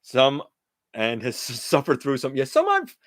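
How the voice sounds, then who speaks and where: A middle-aged man with a loud, carrying voice hosts a casual livestream, chatting and answering viewers' comments. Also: mid-range voice, wildly swooping pitch, a little slow, no room sound, studio quality. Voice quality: loud voice that carries. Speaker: livestream host; teacher. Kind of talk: casual live-stream chat with viewers.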